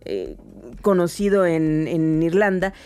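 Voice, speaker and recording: A woman, co-hosting a casual music podcast and telling the story with lively, animated talk. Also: on the low side, slow pace. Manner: animated, storytelling with many "o sea" fillers. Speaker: podcast co-host.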